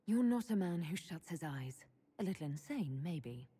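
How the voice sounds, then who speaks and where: A composed fantasy heroine in a video game, kind with a touch of wryness. Her voice is slightly low, dark and very husky. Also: expressive, moderate pace. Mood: reassuring. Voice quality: husky, raspy, mellow. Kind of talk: video game dialogue acting.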